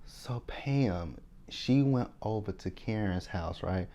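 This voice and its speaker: Texan man, lower voice, sassy TV recap host, chatty and opinionated. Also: lively intonation, slow, dark tone, highs cut off. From Texas. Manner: chatty, sassy, opinionated, talking straight to viewers. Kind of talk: casual TV episode recap.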